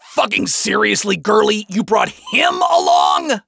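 Casting: man playing arrogant, foul-mouthed male rocker, high and strained, animated, frustrated, audio story. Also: unhurried, creaky, husky, no room sound, full character voice. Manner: shrieking, foul-mouthed, wavering. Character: frustrated, arrogant fallen first man turned rock singer.

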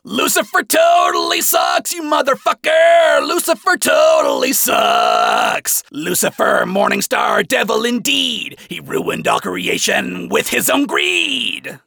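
A man voicing an arrogant, foul-mouthed fallen rock singer, frustrated and loud, in an audio story. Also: very high voice, huge pitch swings, slow, vocal fry, hardly any pauses, no echo, studio quality, pitched above the performer's own voice, heavily acted. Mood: taunting. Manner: shrieking, foul-mouthed, wavering.

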